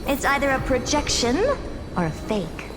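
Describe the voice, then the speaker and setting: An actress playing a haughty, impatient genius scholar in a video game, speaking in an imperious, theatrical and self-important way. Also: female voice, high-pitched, lively intonation, moderate pace, background noise. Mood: haughty, challenging. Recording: loud music under the voice.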